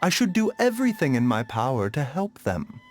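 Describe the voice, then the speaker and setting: An actor playing a gentle, composed young male herbalist doctor in a video game, polite and formal. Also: a little high, huge pitch swings, music in the background. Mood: reflective. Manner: polite, measured, soft-spoken formality. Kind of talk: video game character acting.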